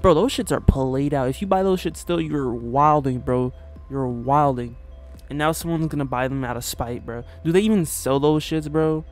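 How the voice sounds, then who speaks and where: A man's voice: a little high, normal speed, faint music behind. Slangy young man reacting to hip hop. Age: young adult. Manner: slangy, laid-back, swears often. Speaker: music reaction youtuber. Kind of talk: casual reaction commentary. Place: small bedroom. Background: hip hop track playing.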